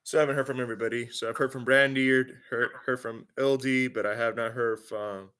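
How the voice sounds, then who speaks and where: A man's voice: mid-range voice, steady tone, a little fast, fairly bright tone, smooth. A man teaching a class over a conference call, often repeating words and restarting his sentences. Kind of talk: online class instruction.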